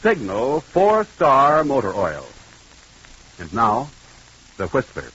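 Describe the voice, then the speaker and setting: A man's voice: mid-range voice, huge pitch swings, slow pace, fairly bright tone, a little hiss or hum. An American man reading a scripted vintage radio commercial with emphatic sales delivery. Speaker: announcer. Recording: dull 1940s radio recording.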